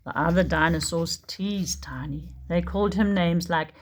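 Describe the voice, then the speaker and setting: A woman teacher reading a picture book aloud for young children in an expressive storytelling manner. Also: fairly low pitch, normal speed. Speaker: preschool teacher.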